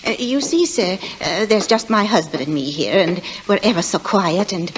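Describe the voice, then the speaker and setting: A woman's voice: fairly low pitch, normal speed, close to an everyday voice. An actress in 1940s radio style playing an anxious working-class landlady, fluttery, stammering and eager to please. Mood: nervously eager. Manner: fluttery, stammering, servile, bustling. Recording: noisy old radio recording, steady hiss.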